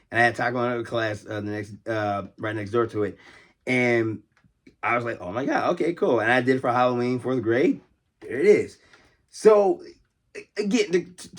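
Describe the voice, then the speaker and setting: An American man hosting a casual, unscripted vlog as a toy collector, talking in a rambling, conversational way and often repeating himself. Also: fairly high pitch. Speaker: toy collector and video host.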